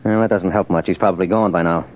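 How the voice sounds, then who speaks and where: Male actor as practical police sergeant, old radio drama: lowish voice, rapid delivery, steady temper. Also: highs cut off, lightly acted. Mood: businesslike. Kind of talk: 1940s radio drama acting. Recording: noisy old radio recording.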